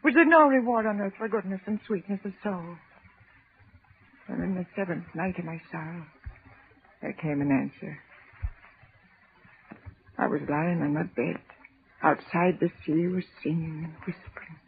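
A woman's voice: fairly low pitch, moderate pace, pauses often, natural voice. An actress playing a grieving old widow who tells her sorrow to a priest in an old radio drama. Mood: sorrowful. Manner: sorrowful, confiding. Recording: tinny old radio broadcast with faint hiss.

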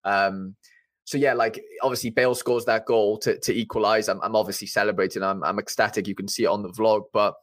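A man's voice: on the low side, steady tone, brisk, a bit bright, no room sound. A young Welsh man with a Welsh accent, a podcast guest chatting casually, rambling and eager with many fillers and restarts. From Wales.

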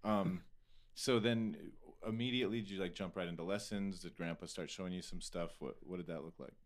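A man with an American accent and a low voice hosts a relaxed podcast interview. He talks informally and loosely, with plenty of slang, as if chatting with someone he knows. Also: a little fast. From Arizona, US. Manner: laid-back slangy talk peppered with "like". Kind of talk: casual conversational interview.

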